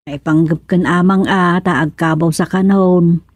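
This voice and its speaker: A woman's voice: on the low side, steady tone, measured pace, a little mellow, no room sound. Lady doing a gabby wife, scolds and teases her old man, dry close mic. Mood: chatty. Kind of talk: comic radio drama acting.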